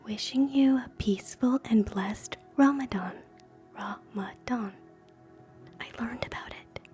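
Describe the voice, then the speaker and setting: A woman hosting live ASMR in a soft, breathy whisper, gentle and soothing, moving between guided relaxation and quiet chat. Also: fairly high pitch, unhurried, faint music behind. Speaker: ASMR streamer, host.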